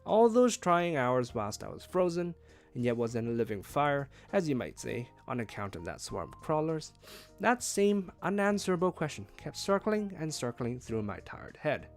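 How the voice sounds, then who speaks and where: A man reading a novel aloud as a streamed read-along, with expressive, emphatic phrasing. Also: on the high side, normal speed, faint music behind. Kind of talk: read-along audiobook narration.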